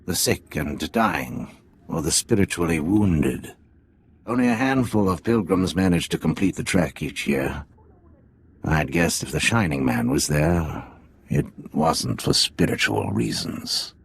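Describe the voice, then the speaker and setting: A man playing a shrewd, wry undercover agent in a video game, with a gravelly, slightly husky voice and a measured, dry delivery. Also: extremely low pitch, animated, exaggerated voice. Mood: briefing, dry. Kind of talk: video game cutscene acting.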